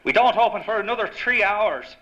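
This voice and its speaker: An actor playing a blunt, suspicious bartender with slangy talk in an old-time radio drama. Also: male voice, high-pitched, fairly quick, a bit bright. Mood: gruff, guarded. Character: gruff, suspicious male barkeep in downtown dive. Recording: dull lo-fi live stage recording, clear noise.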